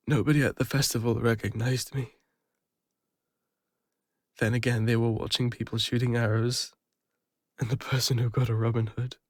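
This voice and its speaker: A man with an English accent playing a regretful fantasy adventurer and healer, confiding haltingly in an intimate audio roleplay. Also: mid-range voice, dry recording, studio quality, lightly acted. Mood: ashamed, vulnerable. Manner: hesitant, confessional, halting with pauses.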